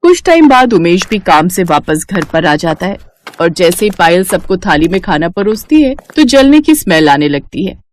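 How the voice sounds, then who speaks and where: A woman's voice: fairly low pitch, very animated, normal speed, a little hiss or hum. A woman storyteller reading a scripted moral tale as narration.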